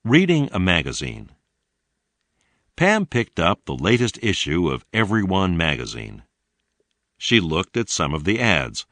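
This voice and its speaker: A man with a deep voice narrates a language lesson. His delivery is slow and deliberate, with animated intonation, and the sound is close and dry. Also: dry recording. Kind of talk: scripted narration, read-along language lesson.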